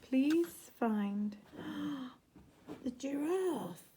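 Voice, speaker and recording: A woman's voice: sits high, lively intonation, extremely slow, mellow, slight background noise. A woman narrating a children's story expressively, like a teacher, with chatty asides to her listeners. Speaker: teacher reading a picture book.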